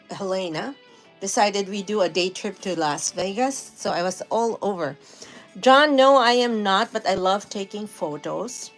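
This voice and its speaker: A middle-aged woman with a Filipino accent, hosting a casual live stream and chatting with viewers, laughing often. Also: mid-range voice. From Philippines. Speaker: livestream host. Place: home in New York.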